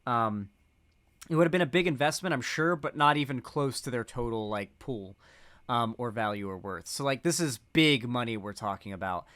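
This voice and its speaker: Smooth-voiced man rambling in commentary. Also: mid-range voice, moderate pace, fairly bright tone. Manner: rambling, lots of "like" and restarts. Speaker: political commentator. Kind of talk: casual commentary.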